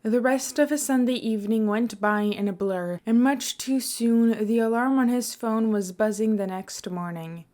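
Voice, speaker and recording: A woman's voice: on the high side, normal speed, few pauses, mellow. Woman narrating a story. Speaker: podfic narrator. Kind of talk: scripted fiction narration.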